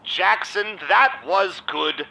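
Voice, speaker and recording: A man's voice: high voice, lively intonation, takes it slowly, creaky, sharp tone, slight background noise, heavily acted. An actor playing a shady, smug vampire businessman who owns a club, with suave, theatrical delivery in an audio drama. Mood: falsely sympathetic. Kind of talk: audio drama narration and dialogue.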